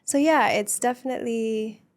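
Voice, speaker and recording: A woman guest on a podcast, speaking in a soft, gentle voice in an earnest, reflective, casual conversation. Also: a little high, normal speed. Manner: earnest, reflective chat with frequent laughs.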